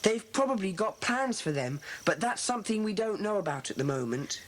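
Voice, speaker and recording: Earnest high-voiced English schoolboy leader. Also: male voice, lively intonation, fairly quick. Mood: earnest, deliberating. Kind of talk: dramatised children's audiobook acting. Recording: faintly noisy old cassette recording.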